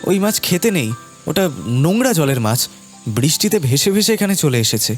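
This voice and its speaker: A man voicing a worried, gentle village farmer in a cartoon, hesitant. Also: a little high, big pitch swings, brisk, soft background music. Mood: resigned, gentle. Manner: anxious, hesitant, repeating words. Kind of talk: cartoon voice acting.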